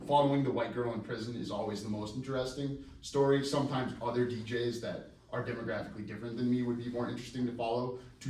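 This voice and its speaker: A man's voice: medium pitch, a little mellow. Echoey, distant man fielding audience questions. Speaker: screenwriter taking audience questions. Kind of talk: casual live question-and-answer at a script reading. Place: live event room before an audience. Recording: echoey room, distant mic, faint noise.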